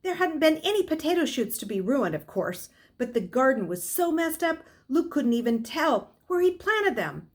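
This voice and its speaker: A middle-aged woman reading a novel aloud as a storyteller, in expressive narration. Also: high-pitched, normal speed, few pauses. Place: small furnished room at home, indoors.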